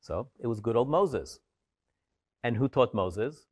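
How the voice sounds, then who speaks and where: A man in his fifties, a Torah scribe, talking in an animated, storytelling way with humorous asides. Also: medium pitch, takes it slowly. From Israel. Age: 50s. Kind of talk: interview soundbite.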